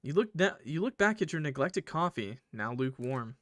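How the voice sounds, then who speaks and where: Higher-voiced man reading a visual novel. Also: moderate pace, no echo. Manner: expressive, informal. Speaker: gaming video creator. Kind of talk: visual novel let's play, reading aloud with casual reactions.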